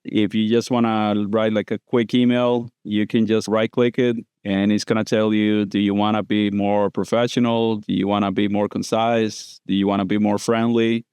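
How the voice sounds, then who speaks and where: A man's voice: on the low side, level tone, normal speed, few pauses, darkish tone, clear, resonant tone, no echo, clean studio sound. A man from Costa Rica, a dentist guest on a podcast, explaining things casually with loose grammar and many fillers. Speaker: guest prosthodontist.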